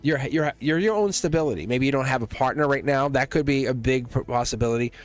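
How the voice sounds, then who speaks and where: A man's voice: medium pitch, quick pace, soft background music. Chatty, laughing male tarot streamer. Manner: chatty, laughs often, teasing. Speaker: tarot reader. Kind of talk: live-stream tarot reading.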